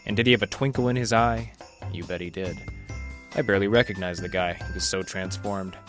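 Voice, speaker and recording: A man acting a hardboiled detective narrator from a noir radio play. His voice sits low and his delivery is dry, wry and clipped, with music underneath. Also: brisk, lightly acted. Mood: wry, reflective.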